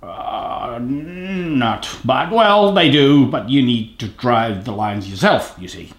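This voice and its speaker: A man's voice: mid-range voice, expressive, slow. A man giving a tongue-in-cheek sponsor read in a rambling, self-correcting way. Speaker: sponsor announcer. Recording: echoey room sound.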